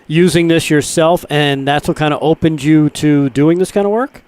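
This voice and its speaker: A man's voice: fairly high pitch, moderate pace, a little mellow. Friendly, stammering male radio host. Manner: friendly, stammering, thinks aloud. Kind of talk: radio interview.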